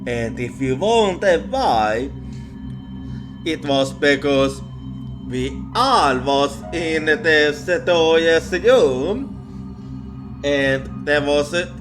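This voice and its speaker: A man who is a non-native English speaker tells a ghost story in ASMR style, halting and repeating words. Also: fairly high pitch, animated. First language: not English. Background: music.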